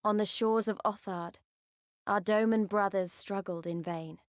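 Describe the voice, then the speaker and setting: An actress voices a wistful woman storyteller in a video game. Her delivery is measured and reflective, in a medium-pitched voice with a close, dry sound. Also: fairly even intonation, no echo, natural voice. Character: wistful woman narrator recalling a far-off homeland sea. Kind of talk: video game cutscene narration.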